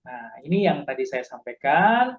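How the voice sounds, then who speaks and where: Higher-pitched man, tutorial delivery. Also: very animated, phone-quality sound. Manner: instructive, halting, repeating key points. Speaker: amateur radio instructor. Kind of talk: informal spoken tutorial.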